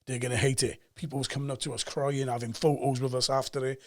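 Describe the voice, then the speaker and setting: A man in his forties with a Cardiff Welsh accent, talking as a guest on a podcast and telling stories with emphatic energy. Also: medium pitch. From Cardiff, Wales. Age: 40s. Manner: animated storyteller, fast and emphatic, acts out quoted voices. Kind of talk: casual podcast chat.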